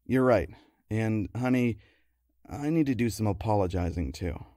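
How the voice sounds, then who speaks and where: A man playing a patient, affectionate partner with dry, gentle wit in a naturalistic comedy audio drama. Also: on the low side, expressive, normal speed, no room sound, lightly acted. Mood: wry affection. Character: patient, affectionate, wry husband or boyfriend.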